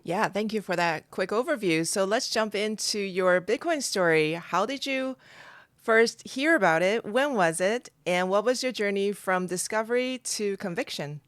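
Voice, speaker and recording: A woman's voice: mid-range voice, moderate pace, no echo. Woman hosting an interview, dry sound. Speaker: podcast host.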